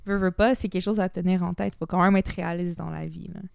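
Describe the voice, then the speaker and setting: A woman's voice: lowish voice, fairly even intonation, fast, creaky, clear, resonant tone, no echo, studio quality. A young woman with a Québécois accent, chatting as a podcast guest, mixing in English phrases and restarting her sentences. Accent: Québécois French. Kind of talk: casual podcast conversation.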